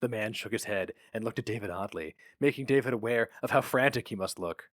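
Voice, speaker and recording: A man's voice: on the low side, a little fast, a bit bright, dry recording, clean studio sound. A man reading a story aloud as its narrator in a dramatic, scripted style.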